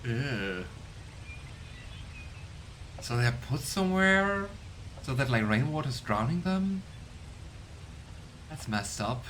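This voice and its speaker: A man's voice: big pitch swings, slow, long pauses, a bit bright, slight background noise. Musing young man commenting on a game. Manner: musing, unsure, drifts into low mumbles. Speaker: let's play host. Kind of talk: casual gameplay commentary.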